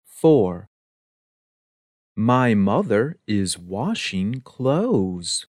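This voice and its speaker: Very low-voiced woman reading slowly. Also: sing-song, darkish tone, dry recording, clean studio sound. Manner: reads each item twice, evenly spaced. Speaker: English listening test reader. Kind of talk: slow, deliberate test dictation.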